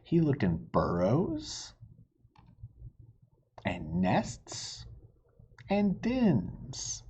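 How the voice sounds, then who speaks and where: A man reading a children's storybook aloud like a teacher, animated and stopping to ask the children questions. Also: medium pitch, drawn-out words, breathy edge.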